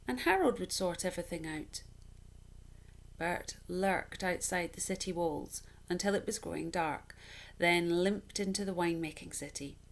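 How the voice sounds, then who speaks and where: A woman with a fairly low voice narrates a children's storybook. She reads expressively, in a measured and dramatic storytelling manner.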